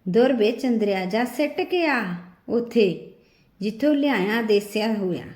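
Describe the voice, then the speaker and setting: A woman's voice: on the high side, animated, measured pace, a little mellow. A woman voicing a superstitious, scolding old village mother in an audio story. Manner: rustic scolding. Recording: roomy echo on the voice.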